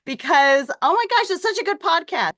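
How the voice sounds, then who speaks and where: A woman's voice: very high voice, lively intonation, normal speed, fairly bright tone. A woman hosting an upbeat interview, bubbly and enthusiastic with lots of exclamations. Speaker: interview host.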